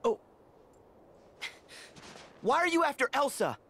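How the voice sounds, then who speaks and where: A man voicing an earnest, stubborn teenage boy hero in a video game, plainspoken and quick to take offence. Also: extremely high pitch, sing-song, pushed and bright, slight background noise, exaggerated voice. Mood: insistent. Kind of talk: video game cutscene acting.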